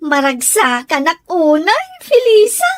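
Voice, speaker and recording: A woman's voice: very high-pitched, big pitch swings, a little slow, exaggerated voice. A woman playing an eager, chatty young best friend in an Ilocano radio drama, with an Ilocano accent. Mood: teasing.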